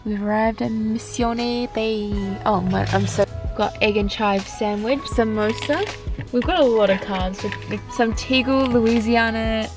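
A woman's voice: fairly high pitch, measured pace. A bubbly, giggly young woman, chatting casually to a handheld camera while out in the city. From New Zealand. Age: young adult. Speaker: vlogger. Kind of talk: casual vlog chat. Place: outdoors in a city and inside a car. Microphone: handheld camera at arm's length. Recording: music playing under the voice.

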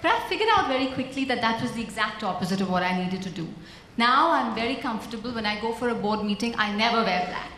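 A woman banker on a conference panel with a naturally soft voice, wry and good-humored. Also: on the high side, lively intonation, fast. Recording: echoey hall with faint noise.

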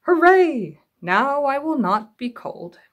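Lady reading as a little bear kid from a storybook, high and eager, slow simple words. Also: very animated, fairly bright tone, lo-fi sound, full character voice. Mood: delighted. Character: eager little bear child, chilly and wanting.

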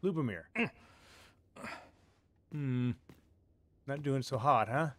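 A man's voice: mid-range voice, takes it slowly, slight background noise. A man hosting a let's play, chatting casually and thinking aloud as he plays, with wry asides. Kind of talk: casual gameplay commentary.

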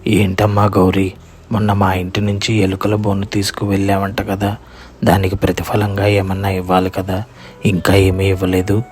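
A man voicing a grasping old village landowner who speaks deliberately and admonishingly in a moral story cartoon. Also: low voice, monotone, faint music behind, close to an everyday voice. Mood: stern, admonishing.

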